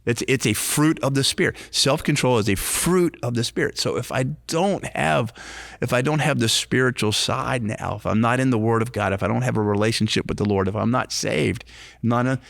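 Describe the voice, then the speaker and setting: A man's voice: mid-range voice, moderate pace, vocal fry, fairly bright tone, no echo, clean studio sound. A man in his late fifties co-hosting a faith-based recovery podcast, talking earnestly and circling back over his phrases. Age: 50s. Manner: earnest, repetitive, restarts phrases. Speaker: podcast co-host, recovery ministry.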